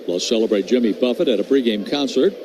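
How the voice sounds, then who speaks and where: A man doing measured, descriptive live play-by-play of a baseball game as a radio announcer. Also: mid-range voice, muffled recording. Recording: clear background noise at ballpark.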